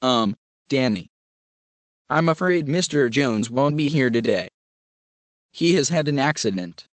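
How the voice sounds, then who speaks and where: A man voicing a formal male orphanage director who speaks hesitantly and gravely. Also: medium pitch, lively intonation, unhurried, no room sound, close to an everyday voice.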